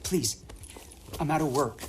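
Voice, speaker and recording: Desperate young frontier man played by a man, rural American, nervous and pleading, raised pitch, game cutscene. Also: slight background noise, close to an everyday voice. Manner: nervous, halting. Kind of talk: dramatic video game cutscene acting.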